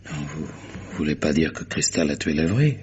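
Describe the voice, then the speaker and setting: An actor playing an anxious, agitated client and worried husband, husky and faltering, in old radio mystery style. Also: male voice, a bass voice, expressive, moderate pace, a little mellow, dull audio, a little hiss or hum. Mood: shaken, anxious.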